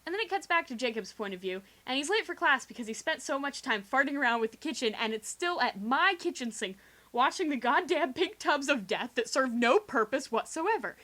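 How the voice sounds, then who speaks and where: A young woman doing a theatrical, sarcastic comic dramatic reading in slangy language, recorded quietly on a homemade game microphone rig. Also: high-pitched, expressive, brisk. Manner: theatrical, sarcastic, slangy, acts out quoted dialogue. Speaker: storyteller. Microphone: video game microphone with improvised pop filter.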